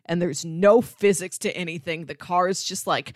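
A woman with a fairly low voice speaks in casual conversation, explaining things as a podcast co-host. The sound is dry and close, with very little room in it. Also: fairly even intonation, normal speed, no room sound. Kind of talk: casual film discussion and plot summary.

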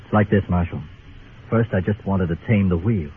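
An actor playing a bitter, sardonic disgraced doctor turned crooked gambler in a radio Western, talking in clipped phrases. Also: male voice, sits low, moderate pace, narrow, tinny audio, close to an everyday voice. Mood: bitter, guarded. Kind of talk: 1950s radio drama acting. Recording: thin noisy old radio recording.

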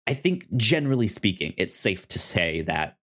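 A man's voice: on the low side, very animated, normal speed, a bit bright. A man co-hosting a podcast, casually recapping a show and often rephrasing himself as he explains. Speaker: podcast co-host.

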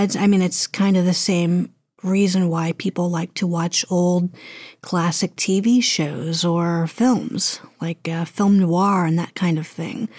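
A woman's voice: on the low side, darkish tone, no echo, studio quality. A woman being interviewed as a guest, speaking conversationally and thoughtfully, feeling her way through her phrases. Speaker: interview guest, audio drama producer and voice actor.

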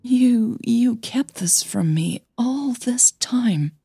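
A woman's voice: fairly high pitch, animated, drawn-out words, gravelly, mellow, husky, dry recording, clean studio sound, voice pushed up, full character voice. A woman playing an upset, angry young woman who blurts and demands answers in an audio drama. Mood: shaken, angry. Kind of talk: audio fiction dialogue.

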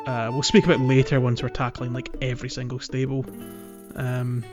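A man's voice: mid-range voice, completely flat delivery, a little fast, over loud music. A man co-hosting a podcast, chatting casually about a game in a hesitant, meandering way. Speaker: podcast host. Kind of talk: casual game playthrough chat.

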